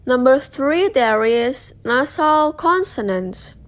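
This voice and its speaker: A woman's voice: high voice, animated, takes it slowly. A young woman reads out a scripted lesson as a student presenter. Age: young adult.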